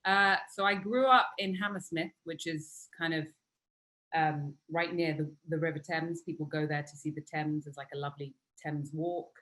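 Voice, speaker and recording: A woman's voice: low voice, steady tone, moderate pace, a bit bright, low-quality recording. A woman from London with a British accent, chatting casually as an actor guest in a friendly way. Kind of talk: casual conversation.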